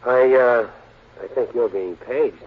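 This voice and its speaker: A sardonic lawyer-detective who narrates and trades quips with the police. His husky voice sits in the middle and has a dry wit. The sound is a thin, phone-like old radio broadcast. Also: mid-range voice, takes it slowly. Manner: wry, sardonic. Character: wisecracking male lawyer-detective. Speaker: narrator and lead. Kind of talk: 1940s radio acting.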